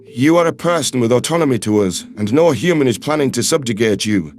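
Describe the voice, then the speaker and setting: A man voicing a calm, earnest human man who speaks in firm, measured, reassuring tones. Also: mid-range voice, voice pushed down, lightly acted. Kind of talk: audiobook character voice.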